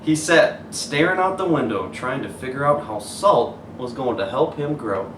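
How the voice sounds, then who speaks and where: A man reads a children's picture book aloud in the animated manner of a teacher. He sounds away from the microphone in an echoey room, with faint noise around him. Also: medium pitch, moderate pace. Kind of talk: animated children's story read-aloud, pausing to show pictures. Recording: roomy echo, distant mic, faint noise.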